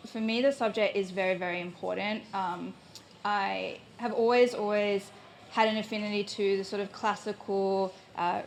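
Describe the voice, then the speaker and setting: A woman's voice: mid-range voice, a little hiss or hum. A young woman from New Zealand speaks at a live gallery talk, reflective and a little hesitant. She often thinks aloud as she answers. Faint room ambience sits behind her handheld mic. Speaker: painter, invited artist. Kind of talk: live public conversation. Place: gallery space with an audience. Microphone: handheld microphone.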